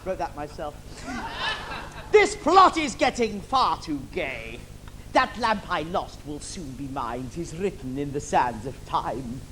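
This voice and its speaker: A man's voice: on the high side, sing-song, slow pace, pushed and bright, exaggerated voice. A man playing a scheming, camp old villain in an adult pantomime, theatrical and declamatory, on a theatre stage. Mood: grandly scheming. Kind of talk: adult pantomime stage acting with rhyming verse and innuendo. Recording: noisy live theatre stage recording.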